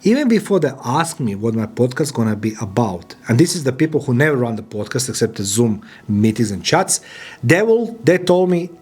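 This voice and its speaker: A man's voice: medium pitch, big pitch swings, brisk, darkish tone, slightly rough. Emphatic man, motivational talk. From Croatia. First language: Croatian. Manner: emphatic, repetitive, non-native phrasing. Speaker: podcaster and business owner. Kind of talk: motivational monologue.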